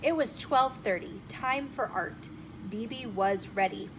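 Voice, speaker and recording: A woman storyteller reading a children's chapter book aloud in an expressive, emphatic way. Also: fairly high pitch, measured pace. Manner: animated, emphatic phrasing with acted dialogue. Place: inside a bookshop. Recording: noticeable room noise behind the voice.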